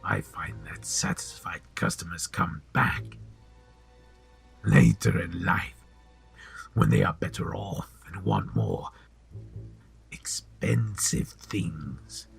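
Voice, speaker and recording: A man playing a sly old shopkeeper in an audio drama, slow and insinuating with a gravelly, whispery voice. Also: low voice, lively intonation, faint music behind, full character voice. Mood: sly, coaxing. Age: older. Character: sly old shopkeeper selling love potions, oily and knowing.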